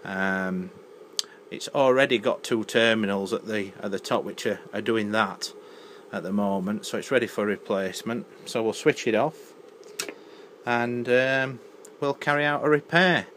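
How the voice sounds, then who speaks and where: Low-voiced man explaining, noisy workshop recording. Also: steady tone, unhurried, a bit bright. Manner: matter-of-fact, explanatory. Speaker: electronics repair technician presenting. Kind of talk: unscripted technical demonstration narration. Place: indoor electronics workbench. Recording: noisy handheld camera audio in workshop. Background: clear background noise.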